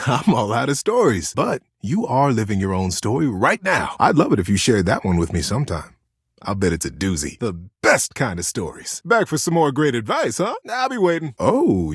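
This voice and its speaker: A devoted stuffed teddy bear from a video game. The delivery is hugely animated, leaping up and sliding down in pitch, with a slightly husky edge. The words are folksy and earnest, with small hesitant stammers, recorded very dry. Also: male voice, dry recording, full character voice. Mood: cozy, encouraging. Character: devoted, sentimental old childhood teddy bear, folksy and gentle. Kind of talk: video game character acting.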